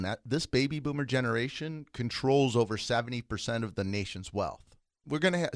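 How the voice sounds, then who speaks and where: A man's voice: mid-range voice, fairly bright tone, no room sound, clean studio sound. A man co-hosting a retirement finance radio show, talking in an emphatic, persuasive way. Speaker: co-host, financial instructor.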